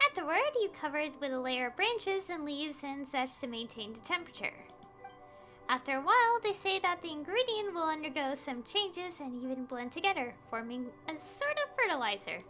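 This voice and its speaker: Girl streamer voicing a puzzled earnest farmer npc, high n bright, kinda halting, game dialogue. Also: sing-song, soft background music, exaggerated voice. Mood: earnest explaining. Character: puzzled, earnest farmer villager man tinkering with homemade fertilizer.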